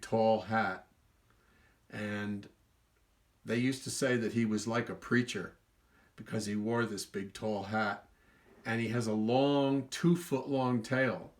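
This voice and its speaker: A male storyteller with a medium-pitched voice reads a picture book aloud to children. He stretches words for emphasis and steps out of the tale to add small explanations. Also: a little slow. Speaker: storyteller reading his own book.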